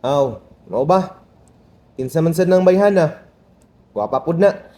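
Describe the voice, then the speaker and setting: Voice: medium pitch, lively intonation, measured pace, warm tone, natural voice. A performer playing a stern middle-aged father in an amateur radio drama, questioning and disapproving. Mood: skeptical. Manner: questioning, scolding.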